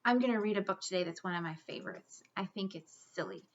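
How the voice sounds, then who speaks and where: A woman's voice: mid-range voice, quick pace, muffled recording. A woman reading a picture book aloud as a school storyteller, expressively and with dramatic emphasis. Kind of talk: expressive children's read-aloud.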